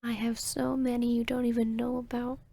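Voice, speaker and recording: A woman voicing an arrogant, seductive vampiress hypnotist in a whispered ASMR roleplay, with a breathy, smug, teasing delivery. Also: on the high side, little pitch movement, warm tone. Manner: slow, smug, teasing, deliberate.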